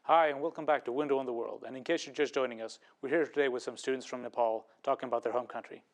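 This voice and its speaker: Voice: mid-range voice, fairly even intonation, fast talker, faint music behind. A host of a college TV talk show, giving short prompts and backchannels during an interview. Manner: brief backchannels, friendly prompts. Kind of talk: TV interview hosting. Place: college TV studio.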